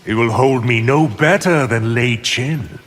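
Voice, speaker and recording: An actor voicing a cold, scheming, arrogant sorcerer in a video game, haughty and deliberate. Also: male voice, medium pitch, fairly bright tone. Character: cold, scheming, arrogant male sorcerer, power-hungry villain. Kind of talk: video game villain dialogue.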